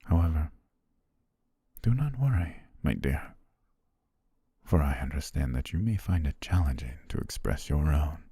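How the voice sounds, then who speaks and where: A man playing a possessive, lovestruck butler in an ASMR romance roleplay, speaking formally in a hushed, breathy voice. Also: a bass voice, expressive, unhurried, pauses often, no room sound, clean studio sound, full character voice. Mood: tender, possessive. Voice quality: husky, breathy, near-whisper. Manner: formal, ornate, hushed diction.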